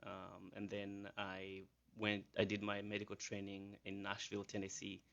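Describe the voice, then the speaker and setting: A man's voice: fairly low pitch, monotone, normal speed, gravelly, fairly bright tone, dull audio. A man in his thirties from Rwanda with a Rwandan accent, a physician answering radio interview questions with many fillers and restarts. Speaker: physician guest.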